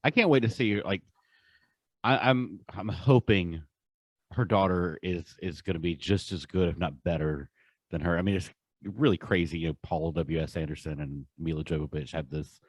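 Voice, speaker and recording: A man's voice: deep voice, moderate pace, no room sound. A man hosting a podcast, talking in casual conversational chat. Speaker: podcast host.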